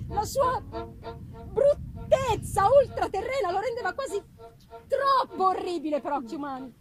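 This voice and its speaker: A woman narrating a gothic novel dramatically, with theatrical, suspenseful and broken phrasing. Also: very high-pitched. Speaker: reader of a novel.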